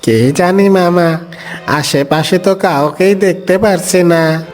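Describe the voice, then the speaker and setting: A man voicing an eager, impatient, flattering fox sidekick with a wheedling, drawn-out delivery in a cartoon. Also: high-pitched, soft background music. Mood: puzzled.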